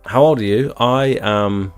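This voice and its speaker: A man's voice: fairly low pitch, measured pace, clean tone, faint music behind. A British man in his thirties chatting informally and rambling as he hosts a casual live stream, reading out comments and answering them. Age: 30s. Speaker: livestream host, exterior cleaning tradesman. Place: small home bedroom doubling as an office.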